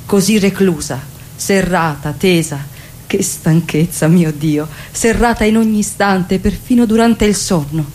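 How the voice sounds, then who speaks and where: A woman's voice: medium pitch, a little slow, darkish tone, a little hiss or hum, close to an everyday voice. A woman playing a weary, aging former beauty in a confessional theatrical monologue. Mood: weary, bitter. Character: aging former beauty, weary, rigidly holding herself together.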